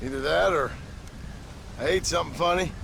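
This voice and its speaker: An actor playing a gruff, protective frontier husband and father in a video game, middle-aged, terse and plain-spoken, with an American Western drawl. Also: fairly high pitch, fairly quick, noisy background. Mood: wry, easygoing. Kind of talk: cinematic video game acting.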